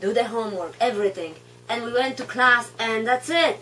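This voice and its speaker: A woman in her thirties with a Polish accent, reflecting casually in hesitant, halting non-native English. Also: on the high side, pushed and bright. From Poland. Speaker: guide dog puppy raiser. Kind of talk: casual reflective talk to camera. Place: indoor room at home, seated on floor. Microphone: camera mic a few feet away. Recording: distant camera mic, hum and background noise.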